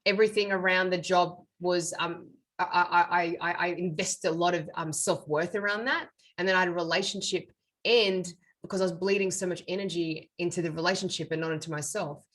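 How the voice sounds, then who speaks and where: A woman's voice: lowish voice, steady tone, brisk, fairly bright tone. A middle-aged woman, a medium and author, telling her life story as a guest in an interview in an earnest, reflective way. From Australia. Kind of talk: interview conversation.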